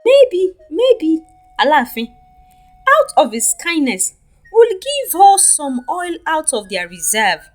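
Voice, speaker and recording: Lady doing a worried king's adviser, earnest and deferential, high voice, very animated, bedtime story. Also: takes it slowly, soft background music, heavily acted. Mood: hesitant hope. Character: anxious, deferential royal adviser counselling his king.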